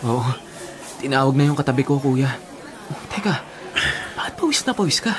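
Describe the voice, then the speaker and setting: An actor playing a concerned, affectionate Filipino younger brother in a radio drama. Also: male voice, mid-range voice, sing-song, normal speed, close to an everyday voice. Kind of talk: Tagalog radio drama acting. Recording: loud music bed over the voice.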